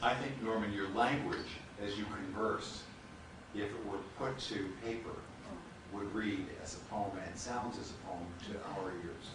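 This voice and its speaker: A man's voice: fairly low pitch, a little slow. An American man, a university dean, moderating a live public discussion and inviting questions. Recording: echoey hall from far off, noisy.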